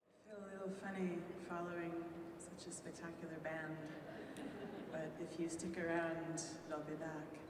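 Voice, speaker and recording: A woman's voice: sits low, level tone, normal speed. A woman reading her own story at a live event, voicing the characters. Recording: echoey hall from far off, noisy.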